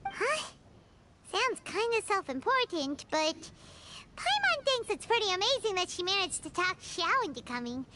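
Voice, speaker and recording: An extremely high, childlike little fairy sidekick from a video game. The delivery is excitable and naive, leaping up and down, with noise clearly audible behind it. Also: female voice, normal speed. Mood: surprised. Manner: exclamatory, naive, refers to herself by name. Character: tiny excitable childlike fairy companion, talks in third person.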